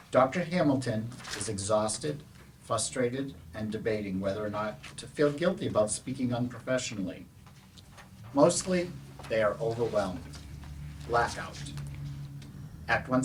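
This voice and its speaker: A man's voice: medium pitch, animated, unhurried, long pauses. A man giving a scripted narration of stage directions for a staged play reading. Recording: distant from microphone, noisy room ambience.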